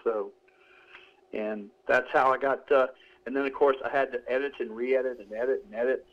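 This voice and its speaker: A man's voice: mid-range voice, steady tone, moderate pace. Rambling middle-aged man on a dull line. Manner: rambling, many restarts and fillers. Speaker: author guest. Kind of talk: casual interview.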